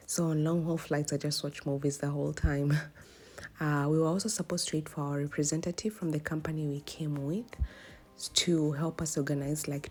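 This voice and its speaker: A young woman narrates a travel vlog in a casual voice-over. Her voice sits low and is hoarse from a cold, with soft music playing behind it. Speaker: vlogger. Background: background music.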